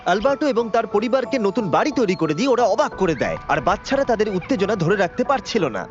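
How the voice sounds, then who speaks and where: A man's voice: sits high, sing-song, rapid-fire. A man reading a scripted documentary voiceover as the narrator of a dubbed video. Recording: muffled audio under music. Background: music.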